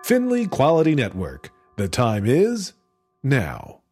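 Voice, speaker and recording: A man's voice: mid-range voice, huge pitch swings, takes it slowly, darkish tone. A man doing emphatic, theatrical announcing in the style of an old-time radio show. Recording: prominent music behind the voice.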